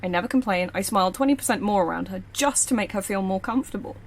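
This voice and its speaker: Woman playing a blunt, brisk operative, mid-pitched. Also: natural voice. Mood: intense, confiding. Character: blunt, observant, confident young security operative. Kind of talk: naturalistic audio drama acting.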